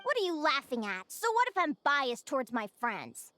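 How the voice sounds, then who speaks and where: A woman playing a cheerful, curious young adventurer and naturalist in a video game, speaking in a bubbly, earnest and expressive way. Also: sits high, normal speed, a little hiss or hum, full character voice. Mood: earnest, upbeat. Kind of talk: animated video game character acting.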